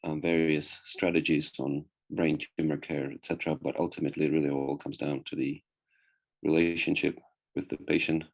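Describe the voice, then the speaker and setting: A man from the Czech Republic speaks in a low, creaky voice with little rise and fall. He presents informally as a guest doctor at an online event. His delivery is halting, often pausing in the middle of a phrase. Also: little pitch movement, low-quality recording. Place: online video meeting.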